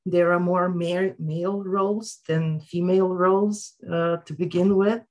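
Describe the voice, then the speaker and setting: A woman with a Serbian accent speaks in a slightly low voice at a very unhurried pace. She hesitates often and sounds reflective, like a panelist sharing thoughts on a video call. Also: fairly even intonation, darkish tone. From Serbia, born in Yugoslavia. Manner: halting, reflective, with frequent hesitations. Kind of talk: informal panel remarks. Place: indoor room at home, video call. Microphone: wired headset on a video call.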